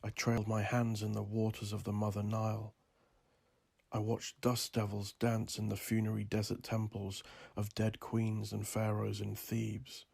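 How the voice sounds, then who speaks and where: Solemn, low, gravelly male narrator. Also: monotone, normal speed, darkish tone, no room sound. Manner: solemn, portentous storytelling. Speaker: author narrating own memoir. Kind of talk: scripted dramatic narration.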